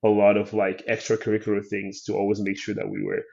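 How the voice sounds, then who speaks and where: A man from Haiti, a filmmaker guest, telling his life story in a thoughtful, soft-spoken way with many pauses and "um"s. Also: on the low side, completely flat delivery, gravelly, highs cut off. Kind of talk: interview storytelling.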